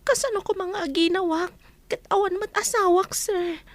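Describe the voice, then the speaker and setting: A woman's voice: extremely high pitch, moderate pace, no room sound. A woman playing a troubled, wronged young woman in an Ilocano radio drama, plaintive and meek but protesting, with an Ilocano accent. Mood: uneasy, protesting.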